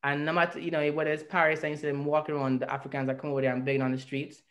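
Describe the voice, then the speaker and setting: A man's voice: on the high side, flat delivery, rapid-fire. Earnest Jamaican man on a video call. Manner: earnest, reflective, speaks at length with rising emphasis. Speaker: artist and interview guest. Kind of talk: personal storytelling in an interview. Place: indoor home room. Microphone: video call webcam.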